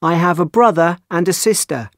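A man voicing a young student from England, talking about his family at an unhurried textbook pace. The delivery is clear and measured, in a dry, close studio sound. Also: a little high, a bit bright, dry recording. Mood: calm. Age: young adult. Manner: clear, measured, carefully paced. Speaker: textbook dialogue voice. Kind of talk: slow scripted textbook reading.

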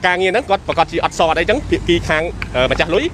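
A man explains at a very fast clip in a fairly high voice, animated and pressing. He is recorded outdoors at night on handheld reporter microphones. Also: noisy background. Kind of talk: street interview.

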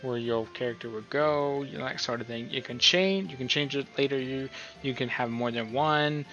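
A man's voice: medium pitch, normal speed, sharp tone. A man giving a casual, unscripted tutorial in a rambling, hesitant, self-deprecating way. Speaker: tutorial host. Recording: dull lo-fi mic, music behind. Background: music.

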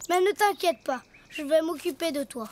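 A woman voicing a cheerful, curious little boy donkey in a cartoon, eager and lively. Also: extremely high pitch, moderate pace.

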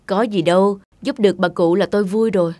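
A man playing a kind, reassuring young shopkeeper in cartoon dialogue, with a calm, modest manner. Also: high voice, fairly quick, no echo, studio quality, close to an everyday voice.

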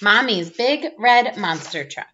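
A woman in her thirties reading a children's picture book aloud in an expressive, playful way, like a teacher. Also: mid-range voice, unhurried, a little hiss or hum. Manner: expressive, playful exclamations and sound effects. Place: small room at home, indoors. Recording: dull lo-fi webcam audio.

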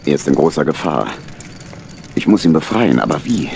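A man playing a fatherly, shrewd uncle badger with a husky voice and a protective manner in an audio play. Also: extremely low pitch, animated, normal speed, a little mellow, slight background noise, heavily acted. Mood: urgent, scheming. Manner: protective, reassuring.

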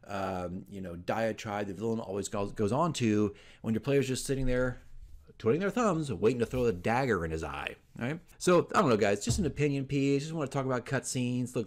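A man in his forties with a mid-range voice talks casually into a desk microphone in a room at home. He is conversational and emphatic, giving his opinions like a host advising fellow hobbyists. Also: medium pitch. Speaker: dungeon master giving tips as channel host. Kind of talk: casual opinion talk to camera. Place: small furnished room at home, indoors. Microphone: desk microphone in front of him.

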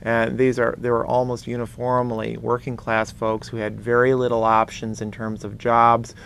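A man's voice: medium pitch, completely flat delivery, moderate pace, vocal fry, a bit bright, slight background noise. A middle-aged American man hosting a political talk show, speaking in an earnest, opinionated way. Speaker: talk show host and activist. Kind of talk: political discussion on a call-in TV show. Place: small indoor TV studio.

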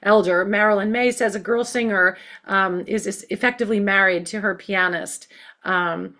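A woman's voice: medium pitch, steady tone, normal speed, bright, forceful tone. A middle-aged woman hosting a video-call interview from home, asking chatty questions with hesitations. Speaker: host. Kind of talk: casual interview. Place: home room.

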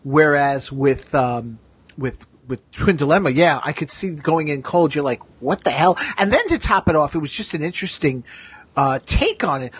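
Animated, husky man hosting casually. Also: on the high side, dry recording. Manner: chatty, quick to laugh, thinks out loud. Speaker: podcast host. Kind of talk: casual discussion chat.

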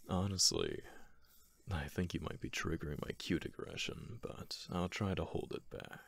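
ASMR roleplay: man playing affectionate, teasing male roommate, gently dominant, breathy and husky, with creaky fry. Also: low voice, very animated, full character voice. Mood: tender, teasing. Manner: slow, soothing, breathy, doting. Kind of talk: ASMR roleplay, whispered and softly spoken.

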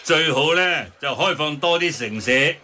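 Blustery, very forceful Cantonese man. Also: on the high side, expressive, a little slow, a little hiss or hum, heavily acted. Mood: earnest, blustery. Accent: Hong Kong Cantonese. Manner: blustery, exclamatory. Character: blustery, grumbling pro-government man. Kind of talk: radio drama acting.

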